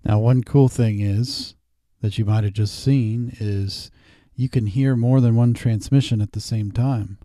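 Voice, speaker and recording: A man hosting a casual screen-recorded software tutorial, explaining each step informally. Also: fairly low pitch, fairly even intonation, a little slow, few pauses, no room sound. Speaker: tutorial host. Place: indoors at a desk.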